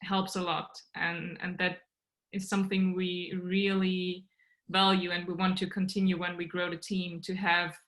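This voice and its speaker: Flat-toned young woman, video call. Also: lowish voice, unhurried. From Austria. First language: German. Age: young adult. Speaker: startup co-founder guest. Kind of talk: podcast interview answers. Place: small bedroom at home.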